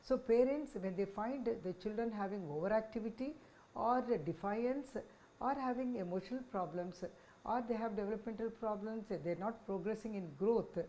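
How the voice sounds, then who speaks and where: Older Indian-accented woman doctor, mellow voice. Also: mid-range voice, normal speed, slight background noise, rough recording. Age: 60s. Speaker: senior child psychiatrist, head of department. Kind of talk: informational talk to camera. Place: small office, indoors. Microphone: lapel microphone.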